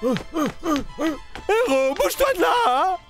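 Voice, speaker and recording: A man voicing a crude, blustering henchman with a gruff, bullying delivery in a French children's cartoon. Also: very high-pitched, big pitch swings, drawn-out words, loud music behind the voice, heavily acted. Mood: threatening.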